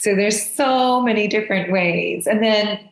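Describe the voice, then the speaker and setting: A woman's voice: medium pitch, a little slow, vocal fry, roomy sound. A woman from the United States, a guest channeler, chatting in an effusive and enthusiastic way. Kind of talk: casual interview chat.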